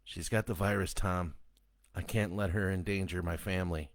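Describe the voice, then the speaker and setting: A man's voice: low voice, normal speed, no echo, lightly acted. A man reading a stern, grim survivor. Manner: flat, firm commands.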